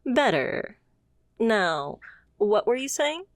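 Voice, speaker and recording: A woman's voice: a little high, very animated, slow, dry recording, studio quality. A woman playing a confident, haughty supervillain boss in a scripted ASMR roleplay, speaking with teasing, condescending poise. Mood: haughty, brisk. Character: confident female supervillain boss, haughty yet playfully amused.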